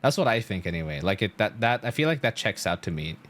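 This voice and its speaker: Male game streamer: slightly low voice, casual, halting, working through thoughts aloud. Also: big pitch swings, a bit bright. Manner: halting, rambling, thinking aloud with repeated "like". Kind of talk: casual live-stream commentary.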